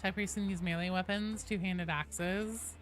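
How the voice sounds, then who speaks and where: A woman's voice: fairly low pitch, steady tone, unhurried, faint music behind. A woman game streamer chatting casually and answering viewers, opinionated and enthusiastic, with casual swearing. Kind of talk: casual livestream chat reading and answering viewers.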